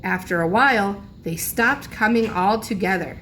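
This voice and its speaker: A woman's voice: mid-range voice, normal speed, a bit bright, a little hiss or hum, poor audio. A woman reading a children's storybook aloud like a teacher, expressively, lingering on key phrases for emphasis.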